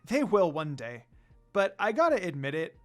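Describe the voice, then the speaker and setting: A man's voice: sits high, big pitch swings, moderate pace. A man plays a young priestess in a fantasy story, kind and curious. The lines are earnest and questioning, delivered over background music. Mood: friendly, earnest.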